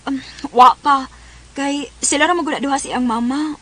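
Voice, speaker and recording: A woman with a Filipino accent acting a sweet, excitable young girlfriend in a radio drama. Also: sits high, moderate pace. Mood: earnest. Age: young adult.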